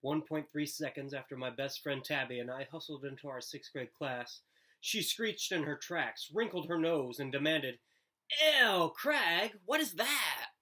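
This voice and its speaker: Male storybook reader, thirties, bright forward tone, expressive narration. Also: mid-range voice, normal speed. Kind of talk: expressive read-aloud narration. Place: living room, indoors.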